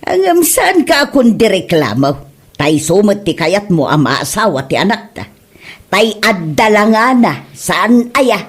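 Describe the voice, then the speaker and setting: A woman's voice: medium pitch, sing-song, measured pace, slightly rough. A woman playing a plain-spoken member of a household in a family comedy radio drama, chiding matter-of-factly with an Ilocano accent.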